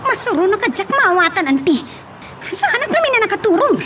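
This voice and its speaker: A woman's voice: very high-pitched, big pitch swings, moderate pace, heavy background noise. A woman playing a scheming, hot-tempered daughter in a radio drama, with an agitated, emphatic delivery. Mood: angry, indignant. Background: music.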